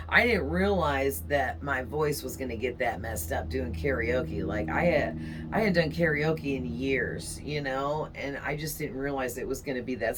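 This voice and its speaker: A woman tarot reader talking casually to her viewers, her voice raspy and hoarse from strain, rambling along with frequent "okay?" tags. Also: low voice, slight background noise. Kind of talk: casual chatty monologue to viewers.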